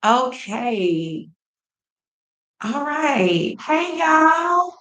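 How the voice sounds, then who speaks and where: Woman, Texan accent, slightly high pitch, folksy and emphatic, attorney host, informal livestream lecture, heavy room echo. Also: very animated, extremely slow, a bit bright. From Texas, United States. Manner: folksy, emphatic, chatty asides to viewers. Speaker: attorney hosting a live legal education session. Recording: echoey, reverberant room.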